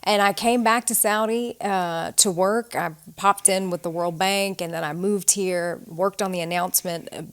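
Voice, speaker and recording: A woman in her forties with a slight Southern American twang, answering interview questions in a thoughtful, measured way. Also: mid-range voice, steady tone, smooth. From United States. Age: 40s. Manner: thoughtful, measured, with some fillers. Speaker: guest, author.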